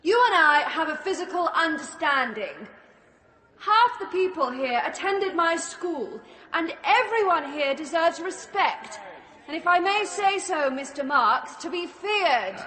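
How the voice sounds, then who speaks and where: An actress in a radio drama playing an imperious, high-status woman who coldly demands respect in haughty, formal speech. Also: lively intonation, a little slow, bright, forceful tone, full character voice. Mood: imperious, menacing. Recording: echoey reception hall.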